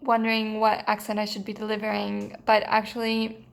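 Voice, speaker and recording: Hesitant Mexican woman, flat even voice. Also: medium pitch, normal speed, gravelly. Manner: hesitant, many fillers and restarts, earnest. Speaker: course participant giving a testimonial. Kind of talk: unscripted spoken testimonial.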